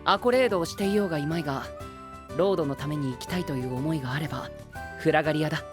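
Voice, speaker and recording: Casting: earnest gentleman knight played by a man, formal diction with English flourishes, faint music, audio drama. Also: on the high side, rapid-fire, lightly acted. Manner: formal wording sprinkled with English exclamations. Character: earnest, gentlemanly, principled young male knight. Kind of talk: Japanese anime-style audio drama acting.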